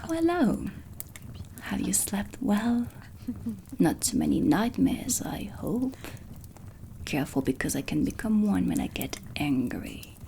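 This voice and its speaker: A woman playing a stern, sardonic dark witch teacher, soft-spoken and deliberate in an ASMR roleplay lesson. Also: medium pitch, big pitch swings, slight background noise. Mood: irritated, sarcastic. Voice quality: soft, breathy whispering. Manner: slow, deliberate, haughty, menacing politeness. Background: ambient music.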